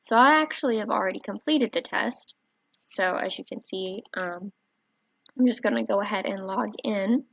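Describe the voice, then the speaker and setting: A soft-spoken woman, a teacher, gently walking viewers through a website on screen, with a warm voice and frequent fillers. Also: medium pitch, moderate pace, vocal fry, no echo. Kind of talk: screen-recorded tutorial walkthrough. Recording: dry, slightly dull home recording.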